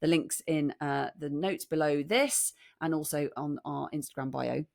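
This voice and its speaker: A middle-aged British woman with a slightly husky voice, chatting casually and jokily as a rambling podcast co-host. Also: sits low, fairly even intonation, normal speed, a little mellow. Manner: jokey, rambling. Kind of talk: casual chat with thank-yous.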